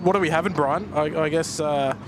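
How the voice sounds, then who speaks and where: A man's voice: fairly high pitch, brisk. Chatty Australian man spotting planes. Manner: chatty, thanks viewers, many fillers. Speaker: live stream host and plane spotter. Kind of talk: casual live commentary. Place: outdoors at an airport viewpoint. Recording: outdoor noise with traffic and aircraft.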